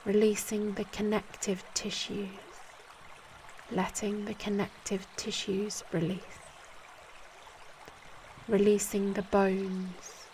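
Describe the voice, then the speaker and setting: A woman guiding a yoga nidra meditation in a calm, soothing, measured manner, leaving long pauses between short instructions. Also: mid-range voice, slight background noise. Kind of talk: guided meditation.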